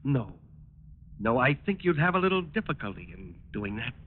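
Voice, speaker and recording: An actor playing an evasive, outwardly obliging war plant owner in a 1940s radio drama, polite and hedging. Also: male voice, medium pitch, big pitch swings, normal speed, close to an everyday voice. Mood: evasive, firm. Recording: tinny, noisy old radio recording.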